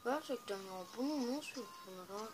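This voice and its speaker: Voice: medium pitch, lively intonation, normal speed, noisy background. A co-viewer making wry, skeptical remarks while watching a cartoon, recorded on a phone filming a screen. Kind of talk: casual reaction commentary. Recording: phone recording under loud cartoon music. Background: loud cartoon soundtrack.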